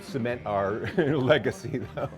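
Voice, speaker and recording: A man's voice: medium pitch, a little slow, soft background music. An American man in his sixties, a band musician, chatting about the past in an interview. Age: 60s. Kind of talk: casual interview reminiscence.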